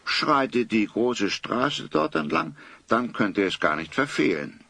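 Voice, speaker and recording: A courtly old grandfather in a children's radio play. The voice is creaky and bright with a lively melody. The phrasing is old-fashioned and friendly, and the sound is dry. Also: medium pitch, a little slow, full character voice. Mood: welcoming. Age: older. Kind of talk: German children's audio drama acting.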